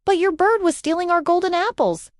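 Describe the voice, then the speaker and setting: A woman's voice: very high-pitched, brisk, no room sound, clean studio sound, voice pushed up. A woman voicing a brave, earnest young prince in a storybook tale. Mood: defensive.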